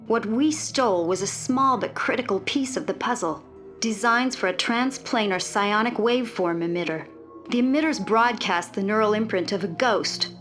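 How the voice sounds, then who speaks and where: A woman's voice: medium pitch, fairly bright tone, close to an everyday voice. A woman playing a tough, skeptical military lieutenant and covert agent in a video game, speaking in a terse, no-nonsense way. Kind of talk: video game cutscene acting.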